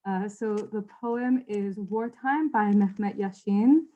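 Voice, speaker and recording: An earnest woman on a video-call panel, thinking aloud with loose, conversational phrasing. Her pitch sits at a middle level for a woman. Her delivery is open and sincere. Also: slow, mellow, muffled recording. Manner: earnest, searching phrasing with frequent "like". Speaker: panelist and poetry reader. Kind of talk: casual panel discussion over video call.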